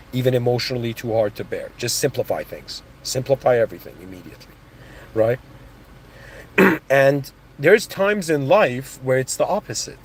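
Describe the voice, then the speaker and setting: Man, motivational speaker, rambling casual talk, mid-pitched voice, faint background noise. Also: a little mellow. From born in Iran, raised in Canada. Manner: rambling, with restarts and "you know what I mean".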